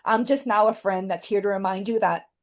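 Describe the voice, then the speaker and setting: A woman with a mid-pitched voice talks as an interview guest and motivational speaker. She is earnest and encouraging, stressing her points by repeating key phrases in a conversational flow. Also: a little fast.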